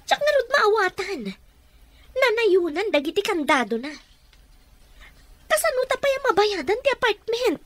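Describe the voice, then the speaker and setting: A woman's voice: very high-pitched, very animated, normal speed, pauses often, dry recording. A woman with a Filipino accent playing a distraught, indignant wife-like figure shut out of home, melodramatic radio drama acting. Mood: indignant, upset.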